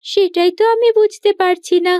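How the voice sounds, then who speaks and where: A woman plays an eager young village daughter in a cartoon fairy tale. The voice is very high and girlish. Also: steady tone, moderate pace, a little mellow, no room sound, studio quality.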